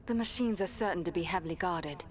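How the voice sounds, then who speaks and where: A woman's voice: lowish voice, quick pace, lightly acted. An actress playing a watchful, serious female adventurer in a fantasy video game, composed and formal. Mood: wary, serious.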